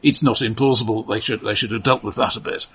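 A man co-hosting a casual film discussion in a measured, analytical way, often halting and repeating his opening words. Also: fairly low pitch, steady tone, fairly bright tone, slightly rough. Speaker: podcast co-host.

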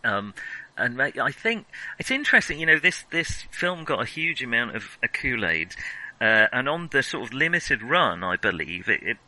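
Man, very bright forward voice, mid pitch, hesitant, thinking aloud, casual film podcast chat. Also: sing-song, moderate pace, dry recording, rough recording.

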